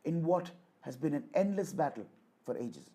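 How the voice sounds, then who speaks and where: Husky man delivering a political speech. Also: a little high, expressive, warm tone. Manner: declamatory, deliberate, repeats phrases for emphasis. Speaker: orator reading a voice sample. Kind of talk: rhetorical political speech, rehearsed with repeated takes.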